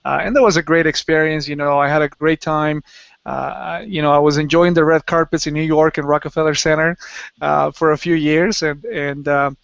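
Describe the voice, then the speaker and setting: A man's voice: on the high side, steady tone, moderate pace, gravelly, a bit bright, clean tone, dry recording. A man from Colombia, a guest on a video call, chatting casually and laughing between phrases. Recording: muffled lo-fi video call audio.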